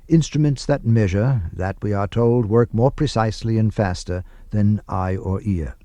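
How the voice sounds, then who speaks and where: Mellow, dry-sounding male radio narrator. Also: fairly low pitch, dry recording. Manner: measured, formal. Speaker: radio announcer and translation reader.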